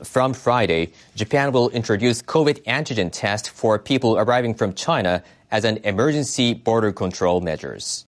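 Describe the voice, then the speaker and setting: A man's voice: lowish voice, animated, normal speed, hardly any pauses. A man reading scripted international news as a radio newsreader.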